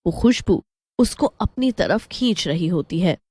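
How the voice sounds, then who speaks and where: A woman's voice: on the low side, lively intonation, measured pace, dark tone, slightly rough, dry recording. A woman narrating a scripted story as the storyteller.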